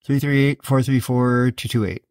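A man's voice: mid-range voice, steady tone, moderate pace, a little mellow, clear, resonant tone. Matter-of-fact man explaining a DIY install. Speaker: host of a DIY boat install video. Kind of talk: hands-on how-to narration.

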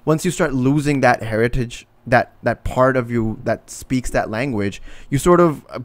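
A young man in his twenties hosting a podcast, talking in a halting way with many restarts, into a studio mic at home. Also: medium pitch, no echo. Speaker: podcast host. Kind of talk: casual podcast conversation. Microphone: studio mic with headphones.

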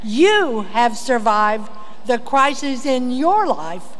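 Guest speaker, female, seventies, high voice, dry humor, reverberant auditorium with some noise. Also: lively intonation, drawn-out words. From Texas. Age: 70s. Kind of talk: prepared humorous commencement speech. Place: large auditorium stage at a commencement. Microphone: podium microphone. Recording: reverberant hall with background noise.

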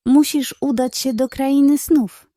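A woman voicing a wise old female owl in a children's storybook, grave and caring, with a solemn, measured delivery. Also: high-pitched, steady tone, warm tone, dry recording, clean studio sound.

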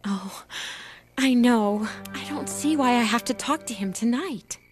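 An actress playing a shy, humble young peasant widow in an animated Bible story, speaking hesitantly. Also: female voice, a little high, lightly acted. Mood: nervous, reluctant. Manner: hesitant, halting. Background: music.

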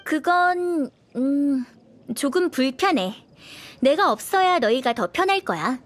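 A young noblewoman knight, speaks high and melodically over faint music. She is a video game character, reserved and aloof, with warmth held underneath her composure. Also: lively intonation, slow. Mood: awkward, reserved.

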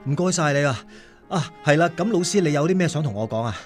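A man's voice: a little high, animated, fast, soft background music, lightly acted. Apologetic Cantonese working dad played by a man. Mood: apologetic, hurried. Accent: Hong Kong Cantonese. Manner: apologetic, hesitant, sighing. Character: hardworking, devoted, apologetic working-class father. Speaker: radio drama actor. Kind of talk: radio drama acting.

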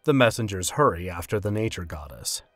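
A man's voice: low-pitched, sing-song, brisk, a bit bright, dry recording, faint music behind. A man narrating a scripted fantasy story.

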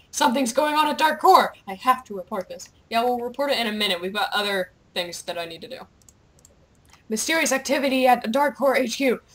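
Bright, excitable young woman gamer. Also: on the high side. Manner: excitable, playful, goofy exclamations. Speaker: gamer, video creator. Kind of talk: casual gameplay chat, reading quest captions aloud.

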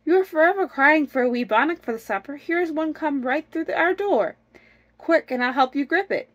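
An excitable cottage goodwife from a storybook, played by a woman reader. Her voice is high and raised as she bosses and exclaims with urgency. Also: moderate pace. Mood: urgent, scolding. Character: excitable, bossy cottage goodwife in a folk tale.

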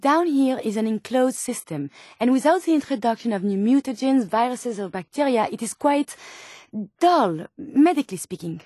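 A woman's voice: on the high side, expressive, normal speed, a little mellow, dry recording, studio quality. An actress voicing a polite, earnest, curious female medical robot companion in a video game, with formal, precise, quaint phrasing.

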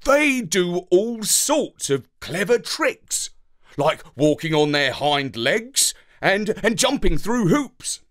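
Storybook dog narrator, male reader: dramatic, enthusiastic, hugely animated, slightly high, slow-paced. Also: exaggerated voice. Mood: insistent. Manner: theatrical, enthusiastic. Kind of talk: scripted children's story narration.

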